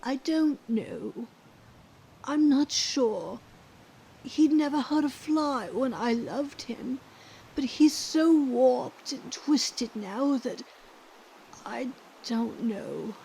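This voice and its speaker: A worn-out bitter ex-wife in a radio drama, highish soft breathy voice, slow and blunt. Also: female voice, lively intonation, slight background noise, exaggerated voice, poor audio. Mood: resigned. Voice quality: breathy, hushed. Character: weary, embittered, secluded older ex-wife. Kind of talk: scripted radio drama dialogue.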